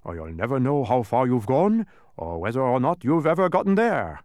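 A man voicing a fussy, pedantic, excitable dodecahedron creature in a storybook, with an emphatic, scolding manner. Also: mid-range voice, moderate pace, vocal fry, no echo, clean studio sound, pitched above the performer's own voice. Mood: exasperated, admonishing. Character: fussy, exacting many-faced dodecahedron, male, excitable.

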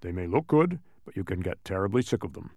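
A man voicing a sober, cautious watchdog in a storybook, giving measured warnings. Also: deep voice, big pitch swings, darkish tone, no echo, studio quality. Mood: cautionary.

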